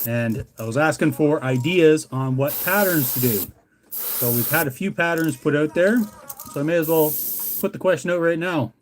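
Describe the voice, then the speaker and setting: A man's voice: a little high, normal speed, slight background noise. Laid-back, folksy Canadian man chatting. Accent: Canadian English. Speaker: livestream host, hobbyist lure maker. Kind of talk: casual chat with live viewers.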